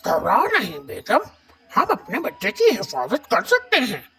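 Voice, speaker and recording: A woman voicing a protective father crow in a children's animated fable, reassuring and resolute. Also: mid-range voice, a little slow, creaky, slight background noise, heavily acted.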